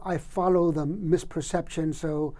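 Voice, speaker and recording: A middle-aged man from Cuba talks as a guest in an interview, his voice a bit higher but mellow and dark. He answers easily, tossing in wry jokes. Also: steady tone, a little slow. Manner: wry, joking, easygoing. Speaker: guest poet.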